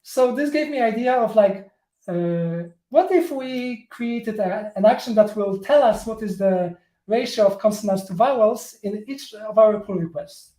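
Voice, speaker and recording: Slow, halting man with a Polish accent. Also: high-pitched, mellow, echoey room, rough recording. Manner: halting, many fillers and restarts. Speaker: tech presenter. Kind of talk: live coding talk.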